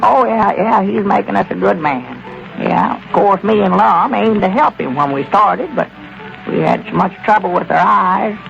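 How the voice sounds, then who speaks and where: An actor playing a country storekeeper with a folksy drawl in a 1930s radio comedy. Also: male voice, sits high, moderate pace, creaky, fairly bright tone, faint music behind, full character voice. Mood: easygoing. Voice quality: folksy rural drawl with plain country grammar. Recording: lo-fi old radio broadcast.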